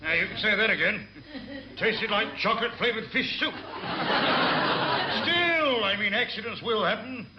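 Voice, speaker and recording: Pompous dithering ambassador, blustery, high lively voice, radio comedy, echoey, audience behind. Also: male voice, normal speed, long pauses, dull audio, a crowd in the background. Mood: jovial bluster. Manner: blustering, hesitant with names. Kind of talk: radio sitcom acting.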